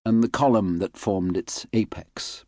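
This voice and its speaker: Deep-voiced male audiobook narrator. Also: measured pace, dark tone, dry recording, clean studio sound. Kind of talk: scripted literary narration.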